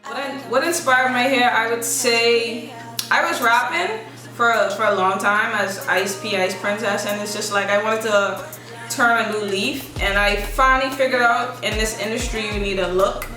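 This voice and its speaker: Soft-spoken young woman, echoey and distant. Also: a little high, normal speed. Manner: soft-spoken, relaxed, loose rambling phrasing. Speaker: musician guest. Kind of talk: casual interview answers. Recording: echoey room from a distance, music behind.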